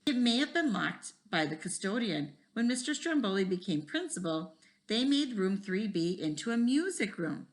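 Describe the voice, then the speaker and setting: A woman's voice: medium pitch, very animated, normal speed, lightly acted. A woman voicing a friendly, easygoing male computer teacher in a storybook, with a folksy, explanatory way of talking. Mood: reminiscing.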